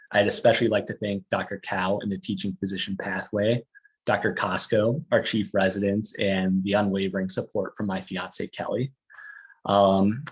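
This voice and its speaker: A man, a resident physician, lecturing in a halting way with many fillers. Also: low-pitched, breathy edge, rough recording. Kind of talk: lecture talk.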